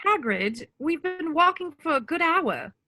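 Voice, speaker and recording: A woman's voice: extremely high pitch, sing-song, a little slow, over music, exaggerated voice. A woman playing an earnest, anxious teenage schoolgirl in a script read, concerned and pleading. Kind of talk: table read of a film script.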